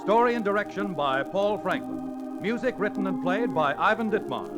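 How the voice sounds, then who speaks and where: Male 1940s radio announcer: high-pitched, declamatory, over loud organ music. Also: lively intonation. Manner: declamatory, drawn-out calls. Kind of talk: 1940s radio announcing, dramatic scripted narration.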